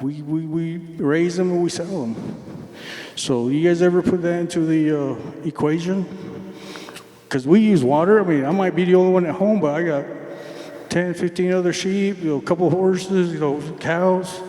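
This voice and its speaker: A man's voice: fairly high pitch, normal speed, mellow. Earnest man speaking up in an echoey hall. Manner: earnest, emphatic, repeats words while searching. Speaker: community member raising questions. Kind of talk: public comment at a community meeting. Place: large meeting hall. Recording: echoey hall with long reverb.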